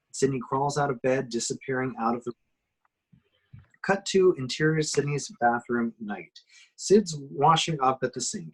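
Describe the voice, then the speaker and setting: A man's voice: medium pitch, husky, rough recording. A man reading action description aloud at an amateur table reading, in a dramatic storytelling way with occasional stumbles. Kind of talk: scripted narration, amateur table read.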